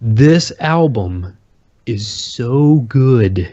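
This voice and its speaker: A man co-hosting a podcast in casual chat, laid-back and quick to laugh. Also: mid-range voice, expressive, dark tone, no echo, highs cut off. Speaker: podcast co-host.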